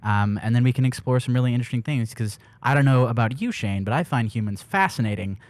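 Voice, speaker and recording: A man's voice: medium pitch, expressive, fast, darkish tone, dry recording. A man co-hosting a podcast in easygoing, casual chat. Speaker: podcast co-host.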